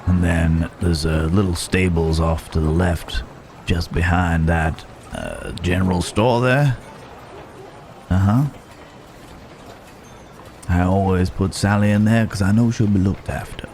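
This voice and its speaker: A man's voice: sits low, steady tone, darkish tone, slight background noise. A man playing a roguish, genteel Wild West stranger in an ASMR roleplay, wry and musing, speaking straight to the listener. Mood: easygoing, amiable. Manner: unhurried, wry, musing, with drawn-out pauses.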